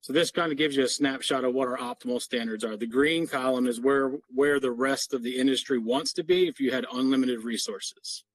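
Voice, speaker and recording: A man's voice: medium pitch, fairly even intonation, brisk, hardly any pauses, dry recording. A middle-aged man giving an explanatory, businesslike slide presentation as a parks maintenance official on a video call. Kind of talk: slide presentation to a board meeting.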